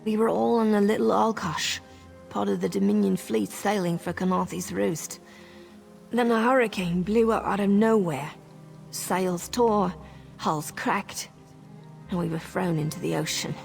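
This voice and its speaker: Earnest soldierly elf sergeant in a fantasy game, bit of creak, music behind her. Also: mid-range voice, fairly even intonation, measured pace, a little mellow. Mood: worried, resolute. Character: stranded elf marine sergeant, resolute, worried for her squad. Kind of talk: fantasy video game dialogue.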